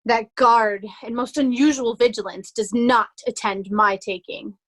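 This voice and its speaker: A woman playing a hunted young nobleman in a Shakespeare soliloquy, in deliberate, measured verse. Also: fairly high pitch, fairly even intonation, fairly bright tone. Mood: desperate, resolute. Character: hunted young nobleman resolving to pose as mad beggar.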